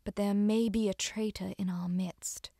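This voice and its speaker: A woman playing a poised, earnest noblewoman in a video game, speaking in a composed, measured and refined way. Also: medium pitch, fairly even intonation, vocal fry, very noisy. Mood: confiding, grave. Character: poised, earnest noblewoman who briefs a naval commander. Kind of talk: dramatic game cutscene acting.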